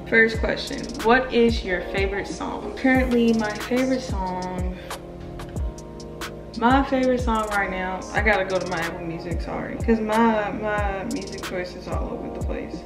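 A woman's voice: mid-range voice, echoey room, far from the mic. A young woman in her twenties answering fan questions in a casual vlog, chatty and blunt, playful, with slang and swearing. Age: 20s. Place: indoor room near stairs. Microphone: camera mic, filmed from below.

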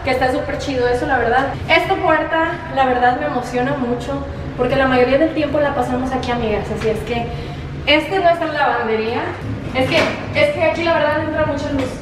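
A woman's voice: high voice, fairly quick. A woman in her twenties giving a casual house tour vlog, bubbly and enthusiastic, using informal slang. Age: 20s. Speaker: vlogger. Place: empty rooms of a house, indoors. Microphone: camera held by another person, few metres away. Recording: echoey empty rooms, distant mic, faint noise.

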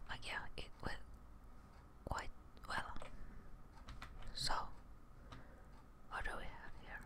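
A young woman whispering soft, breathy, casual ASMR commentary over video game play, close to the microphone. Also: takes it slowly. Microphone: close microphone, headphones on.

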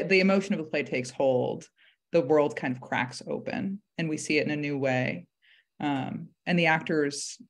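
A woman in her thirties, a director and panel guest, explaining things conversationally on a video call in a hesitant, thoughtful, step-by-step way. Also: deep voice. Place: indoor room, video call. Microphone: video call microphone.

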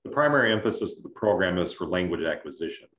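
A man explaining things as an adviser in an online information session, in a measured, conversational way with check-in questions. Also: sits low, animated, fairly bright tone, a little hiss or hum. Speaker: scholarship adviser, presenter. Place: indoors on a video call. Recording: roomy, dull video-call audio.

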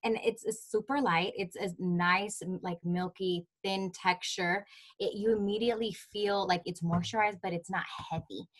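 A woman's voice: mid-range voice, fairly even intonation, moderate pace. A woman in a sales team meeting, chatting eagerly about a product she likes and repeating herself as she talks. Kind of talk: casual chat.

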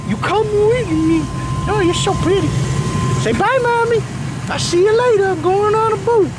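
A man voicing his big male pet dog in a high, squeaky, goofy talking-dog voice. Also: expressive, normal speed. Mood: excited. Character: big male cane corso dog, eager and sweet. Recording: loud engine and road noise.

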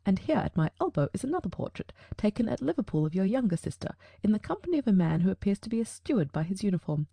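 A woman reading the part of a sharp-witted male detective in an audiobook, reasoning aloud in a crisp, probing way. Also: medium pitch, very animated, quick pace, few pauses, dry recording, full character voice.

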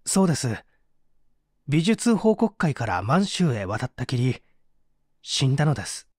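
Radio drama murder suspect , played by a man: embittered male painter, thirties, self-justifying, widely varied pitch, dry close recording. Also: very animated, unhurried, darkish tone, husky, dry recording. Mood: guarded. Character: bitter, defensive orphaned male painter in his thirties, suspected. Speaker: voice actor reading a radio drama. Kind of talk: dramatized mystery reading.

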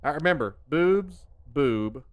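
A man in his thirties with a drawl rambles and cracks jokes while streaming a game. His voice is clean and resonant, and his pitch leaps around as he talks. He is recorded close on a headset mic at his home desk. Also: animated, measured pace. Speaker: gaming streamer. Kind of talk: casual live commentary while playing.

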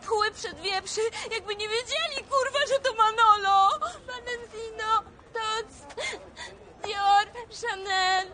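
A woman's voice: very high voice, fairly even intonation, slow pace, bright, forceful tone, a little hiss or hum, heavily acted. An actress playing a vain, foul-mouthed older woman who talks big and boasts. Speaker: film character, a broke woman selling shoes. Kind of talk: film acting.

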